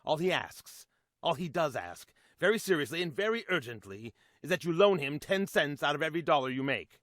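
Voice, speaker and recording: An actor playing a 1940s radio celebrity making a grave wartime appeal in an emphatic, rhetorical style. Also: male voice, fairly high pitch, sing-song, fairly quick, a bit bright, no room sound, clean studio sound. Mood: earnest, persuasive. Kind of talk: 1940s radio acting, dramatic patriotic appeal.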